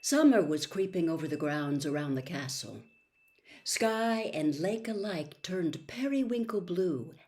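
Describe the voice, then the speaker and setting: A woman narrating a storybook as an audiobook reader, with measured, deliberate and dramatic phrasing. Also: fairly low pitch, a little mellow. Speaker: audiobook reader, host of the show.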